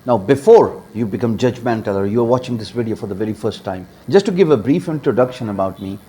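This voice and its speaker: A man's voice: mid-range voice, fast. Emphatic man from Pakistan, uh-filled. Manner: lively, emphatic, frequent uh fillers. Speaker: freelancer and YouTuber. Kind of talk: direct-to-camera personal story. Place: small home studio office, indoors. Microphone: small lapel mic.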